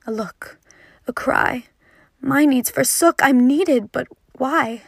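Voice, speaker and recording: A teenage girl with a fairly high voice and a noticeable creak in it. She recites her own poetry earnestly and dramatically, recorded close and dry. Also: takes it slowly, no room sound. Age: teenager, fourteen. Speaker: poet. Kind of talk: introducing and reciting own poem.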